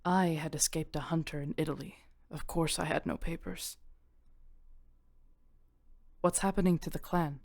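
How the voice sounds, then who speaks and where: A woman's voice: deep voice, fairly even intonation, moderate pace, pauses often, darkish tone, no echo. A woman voicing a guarded, uneasy vampire detective in an audio drama, talking in a careful, hesitant way.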